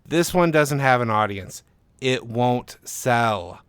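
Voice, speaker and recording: A man voicing a frustrated literary agent in an audio story, measured and persuasive, with firm, worn patience. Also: medium pitch, lively intonation, a bit bright, clean tone, dry recording. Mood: exasperated.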